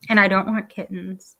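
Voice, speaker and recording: A woman's voice: mid-range voice, pushed and bright, dry recording. A woman in her forties chatting in a bubbly, talkative way on a group call. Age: 40s. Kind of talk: casual group video chat.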